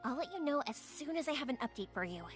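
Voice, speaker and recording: A kind-hearted young fireworks maker, with a high, girlish voice. She is earnest and cheerful, and her pitch moves around in an animated video game style. Music plays softly behind her. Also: fast talker. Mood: reassuring. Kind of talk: video game character acting.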